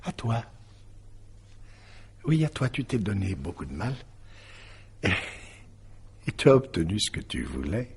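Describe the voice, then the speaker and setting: An actor playing a bitter, wounded man turning coldly sardonic in a radio mystery drama, with halting, repetitive phrasing and a raspy, breathy voice. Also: on the low side, very animated, background noise. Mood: resigned, confronting. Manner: halting, sardonic, repeats words.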